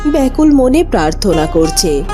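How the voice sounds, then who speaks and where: A woman's voice: medium pitch, big pitch swings, normal speed. A woman giving a dramatic scripted narration of a cartoon fairy tale. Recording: music behind the voice.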